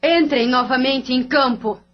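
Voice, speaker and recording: Cold, commanding spirit woman in an audio drama, played by an actress; high-pitched, dull recording with soft music. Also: unhurried. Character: stern female spirit commanding obsessors. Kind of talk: radio drama acting.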